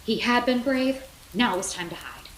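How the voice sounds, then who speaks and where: A woman reading a children's bedtime story aloud as a mother would, in an expressive, theatrical way. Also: fairly high pitch, moderate pace. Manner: animated, theatrical, with dramatic whispers and asides. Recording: roomy echo, clear background noise.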